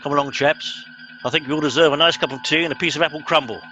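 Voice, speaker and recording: An actor playing a pompous, blustering middle-aged English starship captain in a comic radio play, giving clipped orders. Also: male voice, a little high, steady tone, fast, bright, forceful tone, faint music behind. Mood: self-satisfied. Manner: blustering, officious, clipped commands.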